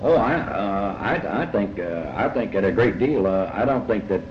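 A man with a Kentucky accent giving reflective, folksy interview answers as a novelist, halting and deliberate, often repeating his phrases. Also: lowish voice, lively intonation. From Kentucky, United States. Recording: muffled, noisy, echoey old tape.

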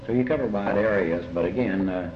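Low-voiced Kentucky man, halting and deliberate. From Kentucky, United States. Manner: halting, deliberate, folksy, often repeating phrases. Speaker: novelist being interviewed. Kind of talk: reflective interview answers. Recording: muffled, noisy, echoey old tape.

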